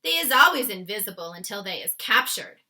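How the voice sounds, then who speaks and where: A woman voicing a big, kind male giant who mangles his words and exclaims loudly. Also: mid-range voice, very animated, fairly quick, full character voice. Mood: exasperated.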